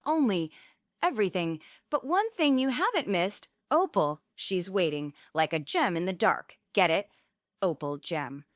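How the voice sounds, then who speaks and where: A woman acting a grand lady matchmaker in a stage comedy. She is dramatic and playful, with a lilting delivery in a lowish, dry-recorded voice. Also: expressive, a little slow, no echo. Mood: urgent, teasing. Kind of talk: comic stage play table read.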